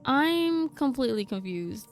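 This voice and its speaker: A woman's voice: high-pitched, very animated, a little slow. A woman streamer giving casual, playful, sassy reactions to a game she is playing. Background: game music.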